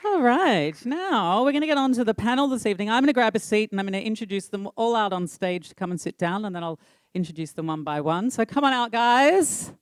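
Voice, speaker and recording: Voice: medium pitch, lively intonation, brisk, smooth. A playful, self-mocking host opening a live community forum from a stage. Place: stage in a hall.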